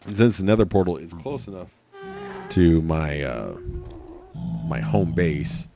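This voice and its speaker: A man with a cold, hoarse and raspy, rambling through casual gameplay commentary with lots of fillers. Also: sits low, a little slow, over music. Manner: rambling, thinking aloud with many fillers. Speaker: let's play host.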